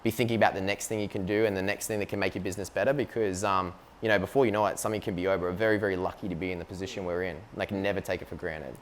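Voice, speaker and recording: A man's voice: sits low, fairly even intonation, rapid-fire, hardly any pauses, slight background noise. An Australian man, a business co-founder, talking casually in an interview and filling his speech with "like" and "you know what I mean". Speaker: company co-founder, guest.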